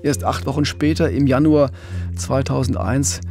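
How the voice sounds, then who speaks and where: A man's voice: medium pitch, fairly even intonation, normal speed, vocal fry, darkish tone, faint music behind. A man with a German accent, co-hosting a podcast and telling a true-crime case in a conversational way. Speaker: podcast co-host.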